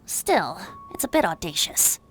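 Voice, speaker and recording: A woman's voice: fairly low pitch, very animated, unhurried, slightly rough, exaggerated voice, poor audio. A woman voicing a playful, boyish young male bard in a video game, whimsical and theatrical with flowery phrasing.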